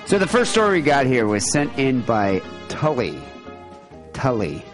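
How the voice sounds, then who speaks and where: A man's voice: mid-range voice, sing-song, unhurried, over music. A man hosting a casual podcast, talking in a loose, joking way with plenty of fillers. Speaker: podcast host.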